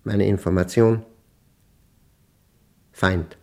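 A man acting a brooding mathematician in a German radio play, reading his diary in terse, deliberate, measured fragments. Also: deep voice, fairly even intonation, warm tone, full character voice. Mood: obsessive, controlled.

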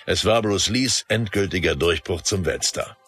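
A man's voice: low voice, moderate pace, fairly bright tone, soft background music. A man playing an enthusiastic film producer looking back in scripted, documentary-style radio play testimony. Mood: admiring, earnest.

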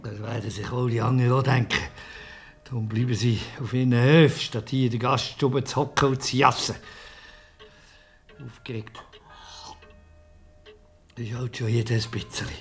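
A man's voice: mid-range voice, fairly even intonation, normal speed, gravelly, pauses often, faint music behind, lightly acted. An actor playing a village innkeeper and father in a radio play, speaking colloquially with a Swiss German accent. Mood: brooding. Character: village innkeeper, scheming father talking to his son. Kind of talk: radio play acting in dialect-tinged German.

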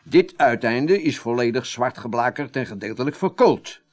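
An actor playing a middle-aged electrical contractor in a Dutch radio drama, explaining things in a courteous, practical way. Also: male voice, mid-range voice, expressive, moderate pace, close to an everyday voice. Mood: earnest explaining. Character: earnest, seasoned male electrical contractor, polite and practical.

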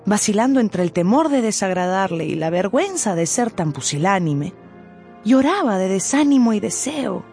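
A woman giving scripted, expressive narration for a radio novel. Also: medium pitch, normal speed, vocal fry, soft background music. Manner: expressive storytelling. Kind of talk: scripted dramatized audiobook narration.

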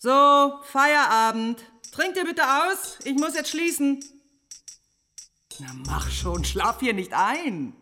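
An actress in a radio drama playing a brisk, motherly barmaid, blunt and bossy but kindly. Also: high-pitched, sing-song, bright, forceful tone, soft background music, exaggerated voice. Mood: brisk, firm.

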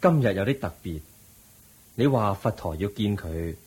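A serene, patient Buddha speaks slowly and gently in a radio drama. His voice sits very low, dark and mellow, with a thick breathy husk. He gently admonishes, never raising his voice. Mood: calm. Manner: calm, slow, gently admonishing. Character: serene, patient male Buddha, a wise teacher. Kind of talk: Cantonese radio drama acting.

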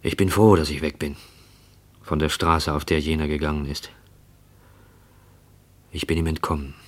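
A man's voice: extremely low pitch, fairly even intonation, normal speed, no room sound. A man acting a hunted, tormented writer in a German radio play, anguished and urgent. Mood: fearful.

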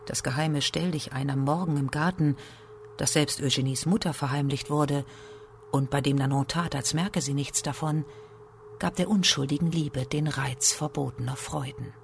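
A man's voice: a little high, steady tone, moderate pace, vocal fry, slight background noise. A man reading scripted literary narration as a narrator in a radio drama.

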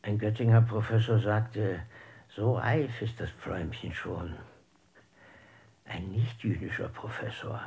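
An actor playing an opinionated eyewitness who looks back firmly and tersely in a re-enacted documentary interview. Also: male voice, lowish voice, a little slow, mellow. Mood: firm, contradicting. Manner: emphatic, terse, matter-of-fact. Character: opinionated male eyewitness looking back, firm and contradicting.

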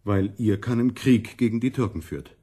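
An actor playing an earnest, stubborn and anxious monk in a radio play, insistent and plain-spoken. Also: male voice, lowish voice, moderate pace, mellow, husky.

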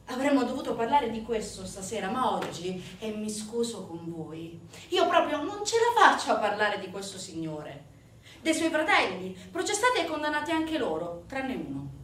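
A woman's voice: a little high, big pitch swings, normal speed, a little hiss or hum. A woman delivering a conversational theatre monologue to an audience, chatty and full of rhetorical questions. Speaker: stage actress, solo narrator. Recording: roomy echo, distant mic.

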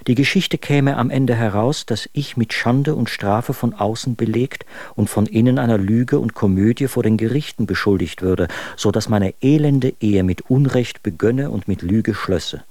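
A man's voice: medium pitch, normal speed, hardly any pauses, a little mellow, dry recording, lightly acted. An actor playing an aggrieved husband who reads out his letters in a formal, indignant, articulate way in a radio drama. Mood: dismayed, indignant.

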